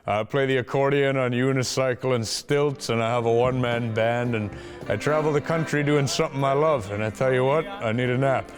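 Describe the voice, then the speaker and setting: A man's voice: medium pitch, brisk, over music. A man from Minnesota, a stuntman, comedian and musician, talking casually in a joking, self-deprecating way. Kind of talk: casual interview and stage banter.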